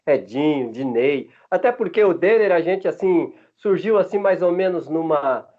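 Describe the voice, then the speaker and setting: A man in his fifties from Minas Gerais with a Minas Gerais Brazilian accent, telling stories as a former footballer in a relaxed interview, chatty and often laughing between halting restarts. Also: fairly high pitch. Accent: Minas Gerais Brazilian Portuguese. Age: 50s. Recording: dull lo-fi sound.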